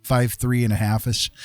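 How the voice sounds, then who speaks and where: A man's voice: mid-range voice, no room sound. Casual man livestreaming, dry close sound. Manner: jokey, rambling, chuckling. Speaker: livestream host and artist.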